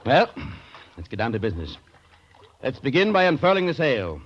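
An actor playing a reasonable gentleman taking charge in a 1940s radio drama, authoritative yet conciliatory. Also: medium pitch, big pitch swings, moderate pace, lightly acted. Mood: commanding. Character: reasonable, take-charge gentleman acting as leader. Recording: muffled, noisy old radio recording.

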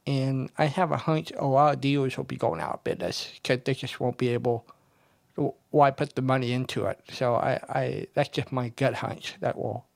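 A man's voice: medium pitch, steady tone, moderate pace, gravelly, no room sound, clean studio sound. A man explaining things casually in a group discussion, offering his opinions in a tentative, musing way. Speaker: participant in an old-time radio discussion group.

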